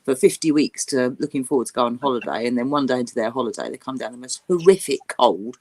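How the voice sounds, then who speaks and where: A woman's voice: a bass voice, fast talker, darkish tone. A British woman co-hosting a casual chat show in a playful, jokey way. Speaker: co-host of an interactive chat show.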